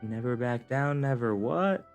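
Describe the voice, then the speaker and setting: A man's voice: medium pitch, measured pace, soft background music. A man giving casual live commentary on a game, in short, offhand, playful interjections. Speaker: game streamer.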